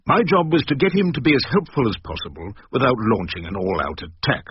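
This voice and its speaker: Wry, expressive older English barrister. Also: male voice, medium pitch, husky. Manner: theatrical, deliberate, rhetorical. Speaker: lead actor and narrator. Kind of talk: radio drama acting and first-person narration. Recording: dull radio recording with background noise.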